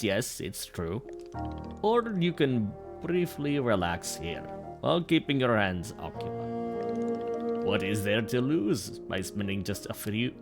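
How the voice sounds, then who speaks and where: A courteous male tea shopkeeper in a video game, coaxing softly in a thick Russian accent, as a man reads him. He speaks slowly and gently, with a lively, rising and falling pitch. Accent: put-on Russian. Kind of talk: reading game dialogue aloud.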